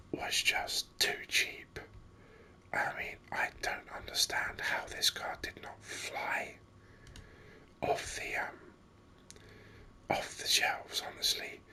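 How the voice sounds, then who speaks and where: A gaming host speaking in an unvoiced whisper, giving unhurried, rambling ASMR game commentary. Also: pushed and bright.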